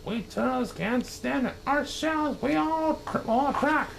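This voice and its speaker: A man's voice: extremely high pitch, slow pace, vocal fry, a bit bright, a little hiss or hum, voice pushed up, full character voice. A man playing a meek, aching little turtle. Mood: pleading. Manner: pleading, polite, strained. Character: meek, weary little male turtle at the bottom.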